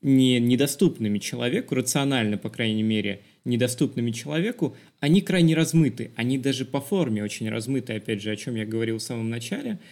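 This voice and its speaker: A man co-hosting a podcast, reflecting on film in a philosophical, measured way. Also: mid-range voice, expressive, darkish tone. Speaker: podcast co-host.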